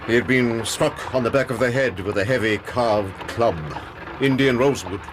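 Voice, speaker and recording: A man's voice: medium pitch, normal speed, background noise, lightly acted. A man with an English accent playing a formal, guarded army major in a period radio drama, clipped and earnest. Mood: grave.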